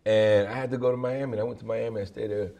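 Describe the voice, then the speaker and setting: A man's voice: lowish voice, steady tone, fast talker, vocal fry, warm tone. A man who is a guest, talking in a casual panel conversation, emphatic and repeating his points for effect. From Boston area, Cuban family.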